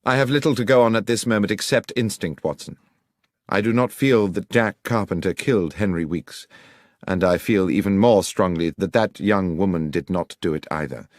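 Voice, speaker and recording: A man voicing a coolly authoritative gentleman detective with formal, probing, precise speech, in an audiobook. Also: sits low, normal speed, dry recording, studio quality, voice pushed up, lightly acted. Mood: reflective, urgent. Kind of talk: audiobook character voice.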